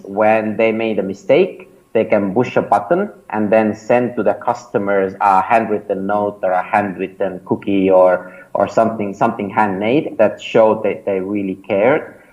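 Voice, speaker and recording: A man's voice: fairly low pitch, darkish tone, dull audio. A man in his thirties with an Estonian accent, whose first language is Estonian. He answers an interview casually and hesitantly, with many fillers and restarts. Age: 30s. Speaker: startup founder and mentor, interview guest.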